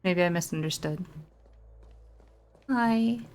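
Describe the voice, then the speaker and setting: A woman's voice: fairly low pitch, takes it slowly, a little mellow. A woman doing casual gameplay commentary, playful and switching into reading game text aloud. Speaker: game streamer.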